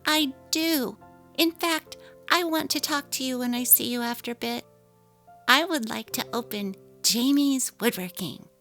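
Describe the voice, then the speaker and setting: A woman's voice: sits high, unhurried, bright, forceful tone, voice pushed up, heavily acted. A woman voicing an earnest, resolute young wife and mother in a dramatically read story, with emphatic, heartfelt delivery. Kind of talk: dramatic reading of a text-message story. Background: music.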